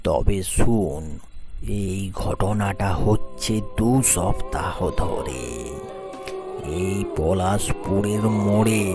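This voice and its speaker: A man voicing a sinister old man, secretly a villain, telling a cartoon horror story in a drawn-out, ominous manner. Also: on the low side. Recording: music bed behind the voice.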